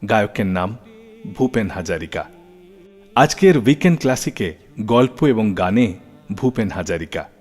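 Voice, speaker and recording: Animated radio storyteller, man in reverb. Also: lowish voice, measured pace, pauses often. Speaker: radio show host. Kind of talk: scripted storytelling radio narration. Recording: long reverb, music bed behind. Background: music.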